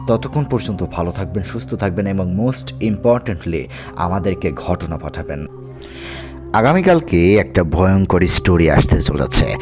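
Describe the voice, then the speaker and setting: A man narrates horror tales in the first person as a show host. His delivery is dramatic storytelling, and music plays clearly behind him. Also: sits low. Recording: music bed under the voice. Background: background music.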